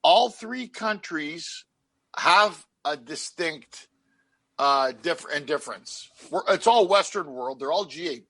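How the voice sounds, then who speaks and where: A man's voice: a little high, animated, slow, sharp tone, rough recording. A man from Canada hosting a casual interview, an eager questioner who keeps repeating his points. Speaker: interview host.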